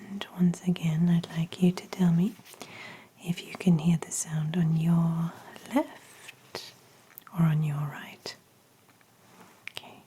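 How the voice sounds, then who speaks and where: A woman speaking in a gentle, hushed, whispery voice, playing an examiner in an ASMR medical roleplay. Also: lowish voice, little pitch movement, slow pace, pauses often, a little hiss or hum, rough recording. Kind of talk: ASMR medical exam roleplay, soft spoken.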